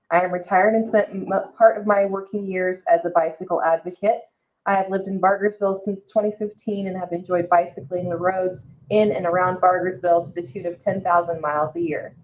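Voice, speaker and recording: Low-voiced American woman, dull audio. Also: darkish tone. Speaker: town meeting staff member. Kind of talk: reading a public comment aloud. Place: indoor public meeting room. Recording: dull, muffled meeting-room audio.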